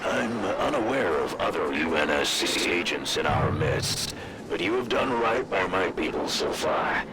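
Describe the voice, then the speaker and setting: An actor playing an ailing, stern AI governor of a mining colony in a video game cutscene, speaking in a curt, authoritative way. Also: male voice, sits low, animated, a little slow, heavy background noise. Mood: suspicious, curt.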